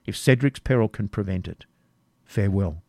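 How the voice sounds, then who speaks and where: A man's voice: lowish voice, moderate pace, mellow, no echo, studio quality, natural voice. A man reading the part of a proud Saxon nobleman in disguise, speaking with impatient force. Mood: solemn farewell.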